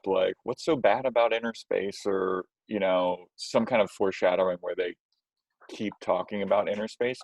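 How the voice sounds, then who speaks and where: Casting: man, fairly low and creaky, conversational group-chat delivery. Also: no room sound, rough recording. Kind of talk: casual group discussion.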